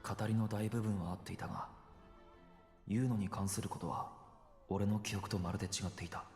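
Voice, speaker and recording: A man as earnest, low-voiced young warrior. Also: fairly even intonation, racing, darkish tone, natural voice. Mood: insistent, confused. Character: earnest young male wandering warrior, questioning, insistent. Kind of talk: Japanese video game voice acting. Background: video game music.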